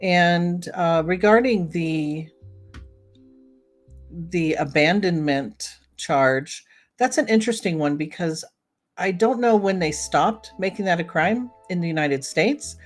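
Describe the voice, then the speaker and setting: A woman's voice: on the low side, animated, unhurried, faint music behind. A woman hosting a solo podcast in a casual, chatty way, talking directly to her listeners. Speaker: podcast host. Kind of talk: casual solo podcast commentary on old time radio.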